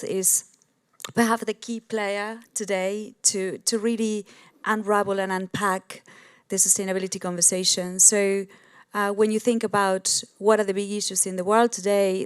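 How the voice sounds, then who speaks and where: Smooth, even-toned Argentine woman. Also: mid-range voice. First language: Spanish. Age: middle-aged. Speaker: panel guest, sustainability entrepreneur. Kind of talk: panel discussion, introducing own work.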